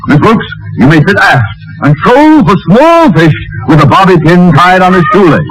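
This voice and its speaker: A man's voice: high voice, lively intonation, moderate pace. An actor playing a pompous, blustering, cowardly middle-aged man in 1940s radio comedy style, given to grand phrases and orders. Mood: commanding. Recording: noisy 1940s radio recording.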